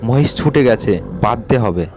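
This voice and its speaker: A man voicing a ghost that poses as an elder brother and lures a young man away in the dark, calling slowly and insistently. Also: mid-range voice, expressive, slight background noise, lightly acted. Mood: luring. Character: ghost posing as an elder brother, luring, cold.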